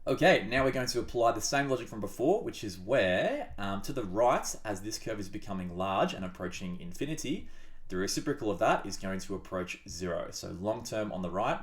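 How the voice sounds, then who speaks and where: A low-pitched man, audibly congested from a cold, explains graph sketching on a whiteboard. His delivery is chatty and upbeat, with playful asides along the way. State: sick, blocked-up nose. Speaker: maths tutor. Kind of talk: casual whiteboard maths tutorial.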